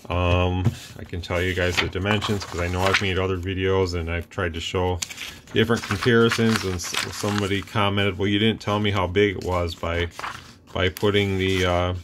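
Man, very low voice, relaxed rambling explainer, faint background hum. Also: moderate pace. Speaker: radio reviewer. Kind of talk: casual product demo. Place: workbench room with radio gear.

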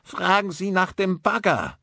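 A man's voice: sits high, very animated, unhurried, gravelly, bright, forceful tone, no echo, studio quality, voice pushed up, exaggerated voice. A man voicing a cryptic, insistent old male stranger in an audiobook. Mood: ominous, insistent.

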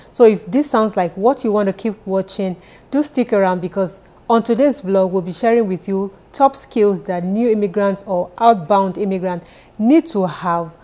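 A woman's voice: mid-range voice, mellow. A woman from Nigeria in her thirties with a Nigerian accent, giving earnest, encouraging advice straight to camera from her living room sofa. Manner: earnest, encouraging, repeats key points. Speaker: vlogger for immigrants. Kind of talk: direct-to-camera advice vlog.

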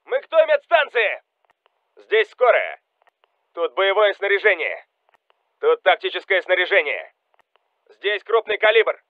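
Urgent Russian soldier, high-pitched radio barks. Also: male voice, no room sound, narrow, tinny audio. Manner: urgent, commanding. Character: Russian special forces soldier calling out battle reports. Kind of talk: military game voice lines, clipped barks.

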